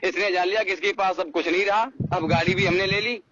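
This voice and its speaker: A man's voice: sits high, fairly even intonation, fast talker. A man with a rural North Indian Hindi accent, speaking insistently and repeating himself as he airs a personal grievance over the phone. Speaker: phone caller. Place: recorded phone call. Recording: muffled phone call with hiss and clicks.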